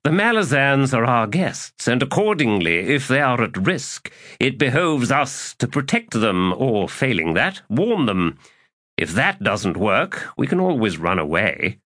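A man's voice: mid-range voice, sing-song, unhurried, fairly bright tone, no echo, clean studio sound, pitched above the performer's own voice. A man voicing a whimsical, self-satisfied young king in an audiobook, with florid, mock-pompous phrasing. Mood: airy, mock indignant. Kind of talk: audiobook character dialogue.

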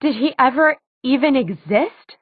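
A woman narrator plays a teenage heroine of an audiobook, emotional and incredulous. Her voice sits high and swings with feeling, every objection leaned on. The recording is dry and slightly dull. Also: animated, unhurried, dry recording. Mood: agitated. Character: upset, sarcastic teenage girl heroine.

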